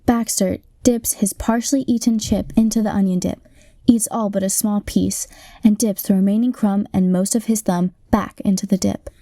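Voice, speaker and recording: A deadpan young woman narrator acted in a radio comedy. Her voice is mellow and recorded close and dry, with measured, matter-of-fact descriptions of the action. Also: medium pitch, no room sound, lightly acted. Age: young adult. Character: deadpan narrator describing players' moves in sports parody. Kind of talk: scripted radio play narration.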